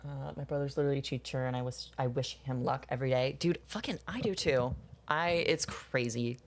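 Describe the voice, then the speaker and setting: A young woman in her twenties with a notably low voice, talking with her live viewers in a loose, rambling way. She swears freely and laughs often as she goes. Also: a little fast. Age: 20s. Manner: rambling, profane, laughs often, answers chat. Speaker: streamer chatting with viewers. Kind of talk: casual chat while drawing.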